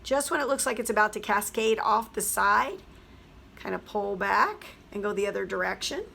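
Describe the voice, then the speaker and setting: A hoarse woman, a teacher, chattily explaining a craft project step by step. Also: mid-range voice, lively intonation, moderate pace, bright, forceful tone. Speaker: craft tutorial host. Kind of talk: craft tutorial voice-over.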